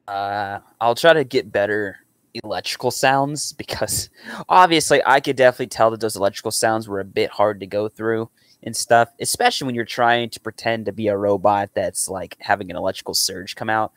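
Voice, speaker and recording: A man's voice: on the low side, a little fast, fairly bright tone, dry recording. A young man chatting casually and earnestly in a rambling reaction at home. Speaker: reactor and amateur voice actor. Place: small room at home.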